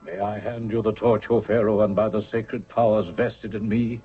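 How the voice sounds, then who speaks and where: A man acting in a 1970s radio drama as a high priest of ancient Egypt, formal, measured and ceremonial. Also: fairly even intonation, husky, faint music behind. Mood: solemn, ceremonial. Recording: narrow phone-like vintage radio recording.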